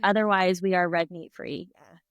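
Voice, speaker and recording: A woman's voice: fairly low pitch, fairly even intonation, moderate pace, a bit bright, clear, resonant tone, dry recording. A middle-aged woman telling stories in a casual interview, chatty, laughing often and acting out quoted voices. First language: English. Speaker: restaurant owner, guest.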